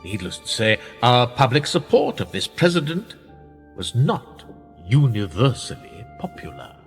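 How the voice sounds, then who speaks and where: Male actor as smug powerful insider, pedantic, condescending, animated pitch, radio drama, long reverb over music. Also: a little slow. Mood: dry storytelling.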